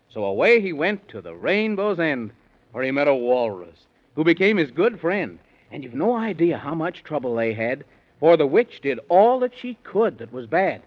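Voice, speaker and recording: A man narrating a rhyming children's tale in a theatrical, sing-song manner, in 1930s radio style. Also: a little high. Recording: muffled 1930s radio recording.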